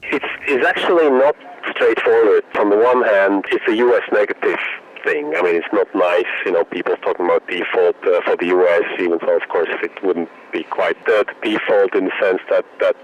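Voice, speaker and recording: Muffled phone line, man, deep voice, analyst guest, unscripted commentary, halting, repeated words. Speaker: bank market analyst, interview guest. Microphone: telephone.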